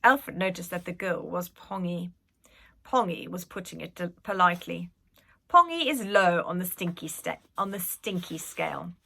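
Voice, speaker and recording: A woman reads a children's storybook aloud. Her voice is bright and very lively in pitch, and she tells it dramatically, leaning into the suspense. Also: normal speed, gravelly, husky. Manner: animated, dramatic, with whispered suspense. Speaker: reader, school staff member.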